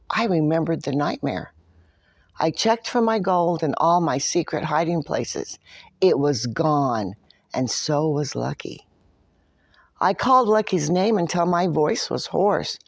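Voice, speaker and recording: A woman's voice: low-pitched, no room sound, exaggerated voice. Woman playing a storybook leprechaun, boastful, grumbling, secretly tender, dramatic, dry sound. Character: Mackenzie O'Shamrock, smug, grumbling, secretly soft-hearted leprechaun. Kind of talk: first-person picture-book read-aloud.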